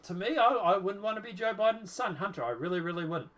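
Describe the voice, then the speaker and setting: A man's voice: sits high, expressive, fast, a bit bright. A man giving casual political commentary while reading from a document, dismissively trailing off with repeated filler.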